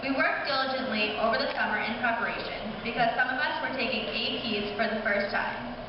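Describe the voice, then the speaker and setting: A woman's voice: fairly high pitch, brisk. Distant, echoey young woman reading a speech. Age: young adult. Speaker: high school class officer. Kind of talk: scripted speech read aloud at a school ceremony. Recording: distant echoey hall, muffled, noisy.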